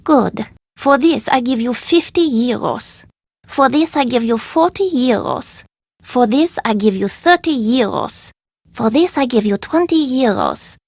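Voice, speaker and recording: Proud, high-voiced market seller. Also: female voice, lively intonation, a little slow, slightly rough, no echo, clean studio sound, exaggerated voice. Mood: proud, insistent. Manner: emphatic haggling in formal, foreign-sounding phrasing. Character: proud, stubborn female street vendor of curios. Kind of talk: video game character dialogue.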